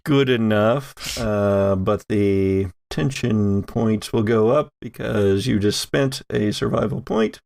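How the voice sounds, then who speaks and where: A man narrating a horror tabletop game as game master, with deliberate, suspenseful storytelling. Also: on the low side, gravelly, darkish tone, no room sound, studio quality.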